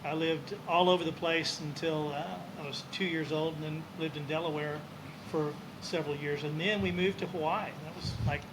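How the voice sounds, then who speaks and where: A man in his sixties telling stories as an interview guest, relaxed, rambling and self-deprecating. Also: a little high, normal speed. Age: 60s. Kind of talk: casual storytelling. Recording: noisy room with air conditioning hum.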